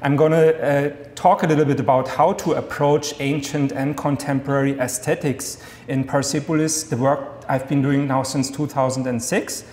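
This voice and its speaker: A man in his thirties with a German accent gives an enthusiastic academic lecture from a podium, talking fast with many fillers and restarts. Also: mid-range voice, fairly even intonation, a little mellow. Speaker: guest lecturer. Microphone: podium mic. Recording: echoey lecture hall, long reverb.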